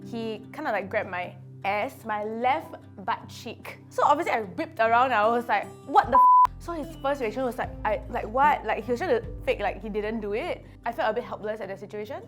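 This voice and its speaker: A woman's voice: on the high side, big pitch swings, a little fast, music in the background. A woman, telling her story candidly and with playful asides. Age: 20s. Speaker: video producer. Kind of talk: interview confession.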